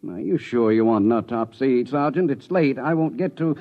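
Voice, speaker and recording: A man's voice: medium pitch, expressive, moderate pace, close to an everyday voice. A male actor playing a blunt, weary morgue doctor with a clinical manner in a 1950s radio drama. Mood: weary, dismissive. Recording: muffled vintage radio recording.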